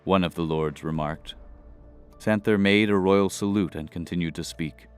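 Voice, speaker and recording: Deep-voiced, clear male fantasy narrator. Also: normal speed, faint music behind. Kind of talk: scripted fantasy audio drama narration.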